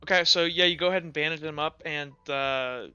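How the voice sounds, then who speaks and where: A man running a tabletop roleplaying game, improvising narration in a halting, loose, conversational way. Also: a little high, bright, forceful tone, clean tone, no echo. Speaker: game master. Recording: dull audio with music.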